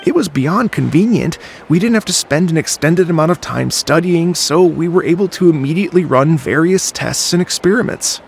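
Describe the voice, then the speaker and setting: A man's voice: a little high, expressive, moderate pace, gravelly, few pauses, slight background noise. A man voicing an easygoing young male researcher in a game, chatty but hesitant and stammering. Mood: explaining. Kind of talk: game voice acting.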